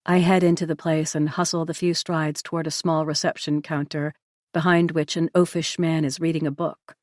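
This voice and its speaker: A woman with a low voice reads a novel aloud in the first person, her delivery measured and even. The sound is close and dry, with no room around it. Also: dry recording. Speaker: audiobook narrator.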